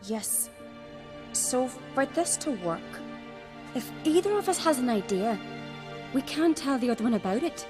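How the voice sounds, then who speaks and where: An actress playing a clever, determined young noblewoman who speaks in a brisk, confident, wry way. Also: high voice, sing-song, music in the background.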